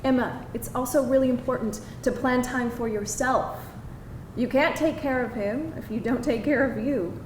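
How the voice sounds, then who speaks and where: A woman's voice: a little high, normal speed, natural voice. A woman playing a patient counsellor, roomy and distant. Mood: patient, encouraging. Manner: patient, reassuring. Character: patient, soft-spoken, kindly support-group member of fifty. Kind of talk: live screenplay table read. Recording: roomy, distant live hall, faint noise.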